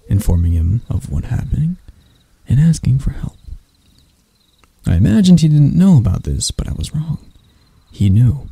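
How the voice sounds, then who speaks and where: A very dark, mellow male elf royal, acted by a man, proud and mocking, in an intimate ASMR roleplay. The voice is husky and breathy with a creaky edge, and he lets dramatic pauses hang as he addresses his enemy. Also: mid-range voice, no room sound, full character voice.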